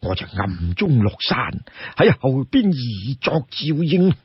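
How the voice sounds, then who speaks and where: A man's voice: mid-range voice, big pitch swings, slow, breathy edge, no echo, highs cut off, heavily acted. A man voicing an assured, loyal warrior who advises his sworn brother in a storybook tale.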